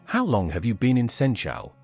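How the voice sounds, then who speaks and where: A man's voice: medium pitch, huge pitch swings, moderate pace, a little mellow. A synthetic man's voice as a game's player character, asking plain, direct questions. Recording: game music underneath. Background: game music.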